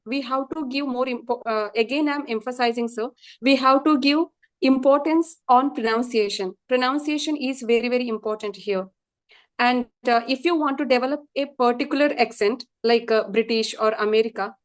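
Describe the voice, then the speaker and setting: Earnest South Indian woman, fairly high. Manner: earnest, repeats points for emphasis. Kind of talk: casual interview chat.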